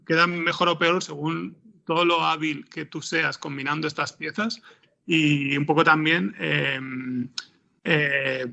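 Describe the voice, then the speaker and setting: A man's voice: a little high, vocal fry, sharp tone, highs cut off. A man with a Spanish accent from Spain giving casual interview answers, hesitantly searching for his words. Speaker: interview guest, audio fiction screenwriter.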